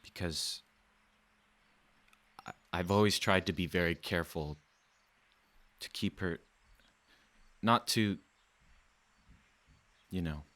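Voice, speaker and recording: Low, bright-voiced flirtatious lover. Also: male voice, slow, long pauses, exaggerated voice. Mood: defensive, hesitant. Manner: playful, theatrical wooing. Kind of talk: radio play acting.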